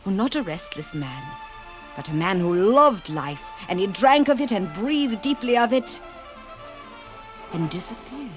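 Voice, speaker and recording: An actress playing an older, wistful aristocratic widow in a radio drama, telling her story in a slow, dramatic way. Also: medium pitch, expressive, soft background music, lightly acted. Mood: wistful, romantic.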